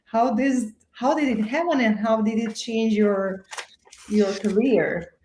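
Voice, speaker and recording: A woman's voice: a little high, slow pace, mellow, a little hiss or hum. A woman hosting a live author interview, friendly and curious, with small hesitations as she asks questions. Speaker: host. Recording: roomy echo.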